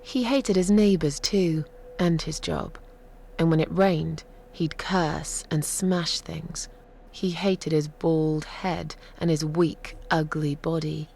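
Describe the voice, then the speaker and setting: An actress playing a sardonic, eerie woman storyteller, delivering horror tales in a creaky voice with deliberate pauses and drops toward a whisper. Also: low-pitched, a little mellow, slight background noise, heavily acted. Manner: slow, deliberate, often dropping to a whisper. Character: sardonic, eerie woman telling a dark cat legend.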